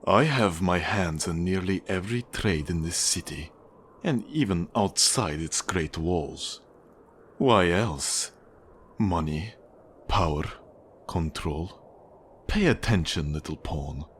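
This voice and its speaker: A man playing a cold, manipulative Russian mafia boss in an ASMR roleplay, with a Russian accent, a husky low voice and a measured, condescending, menacing delivery. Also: expressive, slight background noise. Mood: stern, impatient.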